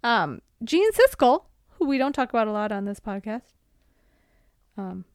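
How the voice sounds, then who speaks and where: A woman co-hosting a podcast in a casual, playful chat about film, with an animated way of talking. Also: fairly high pitch, moderate pace, clear, resonant tone, dry recording, clean studio sound. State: tired. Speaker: podcast co-host.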